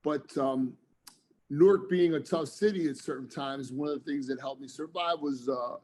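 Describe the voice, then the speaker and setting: A man, speaking a touch higher than most men. He talks earnestly and at length, with plenty of fillers. He sounds like a guest in a casual podcast chat. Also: normal speed, a little mellow. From New Jersey, United States. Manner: earnest, rambling, frequent fillers. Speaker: author guest, former police officer.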